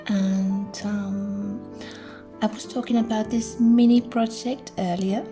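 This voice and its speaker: A middle-aged woman, chatting gently through a crafting tutorial and thinking aloud as she works. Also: mid-range voice, little pitch movement, slow pace, music in the background. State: hoarse. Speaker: crafting channel host. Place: indoors at a craft table.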